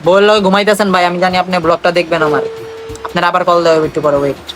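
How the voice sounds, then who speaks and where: A man's voice: high-pitched, very fast, over music. A young man with a Bangladeshi accent chatting casually as a vlogger, in a teasing, slangy way. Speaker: vlogger making prank calls. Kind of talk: casual vlog chat to viewers and on calls.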